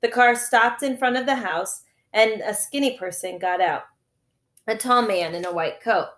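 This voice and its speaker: High-voiced woman reading aloud, echoey room. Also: normal speed, a bit bright. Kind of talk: storybook narration.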